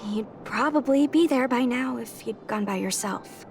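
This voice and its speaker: An eager young female knight from a video game, acted by a woman, with a high, girlish pitch. She is earnest and polite, quick to apologise and a little unsure of herself. Game music plays clearly behind her. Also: close to an everyday voice. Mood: apologetic.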